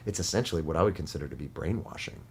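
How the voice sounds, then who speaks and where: A man talking as a guest in a casual studio conversation, earnest and halting, often restarting his sentences. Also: rumbling low, animated, slight background noise.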